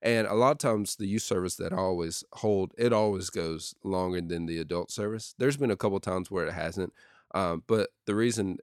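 Young adult youth pastor, very low deep voice, dry close sound, relaxed podcast chatter. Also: male voice, normal speed, clear, resonant tone, no room sound. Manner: steady and conversational with many ums and uhs. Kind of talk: casual solo podcast talk.

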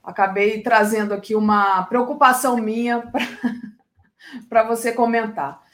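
A woman's voice: on the high side, little pitch movement, a little slow, fairly bright tone. A woman hosting a live political interview, asking long rambling questions full of fillers. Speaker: host. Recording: roomy echo.

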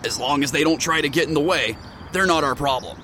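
A man's voice: fairly high pitch, fairly quick, natural voice. An actor playing a businesslike, matter-of-fact male mercenary in an audio drama. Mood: cold, businesslike.

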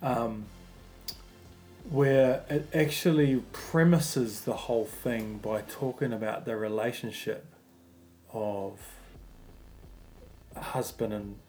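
A man's voice: medium pitch, slow, long pauses, darkish tone, a little hiss or hum, poor audio. A man hosting a casual faith discussion, thinking aloud and rambling through his points with plenty of fillers. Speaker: podcast host.

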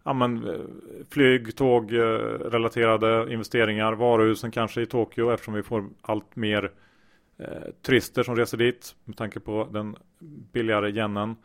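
A man's voice: on the low side, flat delivery, normal speed. A man hosting a podcast, speaking casually with many filler sounds. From Sweden. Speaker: podcast host.

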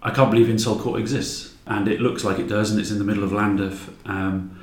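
A man's voice: fairly low pitch, level tone, fairly quick. A man chatting casually as an interview guest about his design work. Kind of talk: casual podcast chat. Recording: roomy echo.

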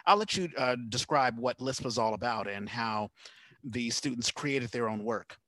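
A man hosts a relaxed, conversational interview in a slightly lower-pitched voice than many speakers or an average one. He explains things in a hesitant, rambling way. The sound is close and dry, with little room in it. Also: normal speed, no echo, poor audio. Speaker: podcast host.

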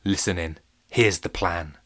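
A man voicing a scheming brown banana, speaking low and slow. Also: a bit bright. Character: scheming laid-back male brown banana.

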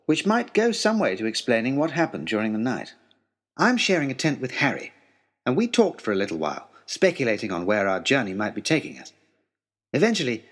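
A man narrating a scripted first-person story in an expressive, wry, rather formal storytelling manner. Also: mid-range voice, slightly rough.

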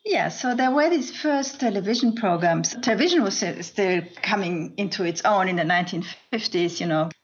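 A woman's voice: medium pitch, expressive, normal speed, hardly any pauses, breathy edge, dull audio. A woman historian of science explaining conversationally as an interview guest.

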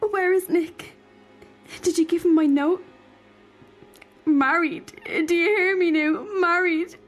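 A woman's voice: sits high, a little slow, pitched above the performer's own voice. A woman reader voicing a frightened, tearful young woman who pleads and cries, over faint music. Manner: crying, pleading.

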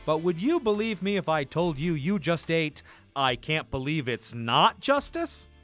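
A man acting a cheery, over-keen pitchman in a spoof commercial. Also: very animated, measured pace, a bit bright, soft background music. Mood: salesy.

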